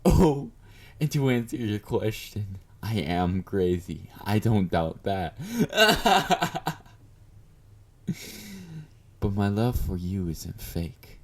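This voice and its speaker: Slow, very low-voiced obsessive male admirer, acted by a man. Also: sing-song, full character voice. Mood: amused, unhinged, then tender, clingy. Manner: doting, confident, coaxing with pet names. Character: obsessive yandere male fan, clingy, flirty, confident stalker. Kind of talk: intimate roleplay monologue addressed to the listener.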